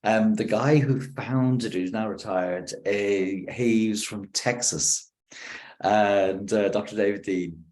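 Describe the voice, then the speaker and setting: A lower-voiced Irish man leading a communication workshop over a video call. He talks in a relaxed, conversational way and races ahead in quick bursts before settling into deliberate pauses. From Ireland. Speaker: professor giving a communication workshop. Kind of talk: conversational training talk.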